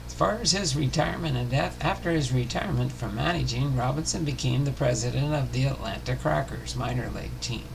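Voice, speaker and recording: A man hosting a baseball history series, reading biography notes aloud in a halting way with small stumbles and self-corrections. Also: mid-range voice. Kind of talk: scripted biography narration, read aloud. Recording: clearly noisy home recording.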